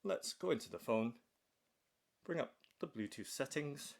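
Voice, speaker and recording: A man with a mid-pitched voice narrates a hands-on product demonstration. He goes step by step in a measured, matter-of-fact way, like a tech unboxing presenter. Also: expressive, vocal fry, a little mellow.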